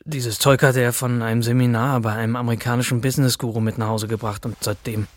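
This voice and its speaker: A man's voice: mid-range voice, little pitch movement, fast, no echo, natural voice. An actor playing a wry, colloquial courier employee narrating his workplace in a radio play. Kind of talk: scripted first-person narration in a radio play.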